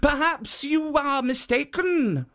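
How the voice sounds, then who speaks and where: Folk-tale statue guardian, gentle, earnest, pitched up, a narrator putting it on. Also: animated, slow pace, full character voice. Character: kindly, protective stone statue spirit.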